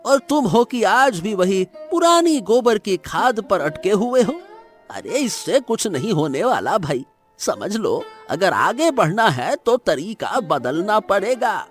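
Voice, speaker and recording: A man plays a scornful, preachy brother in a cartoon moral tale. The delivery is high and animated yet unhurried, full of belittling smugness, with faint music underneath. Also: exaggerated voice. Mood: smug lecturing. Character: smug, scornful brother lecturing a poor farmer. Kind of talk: Hindi cartoon moral story acting.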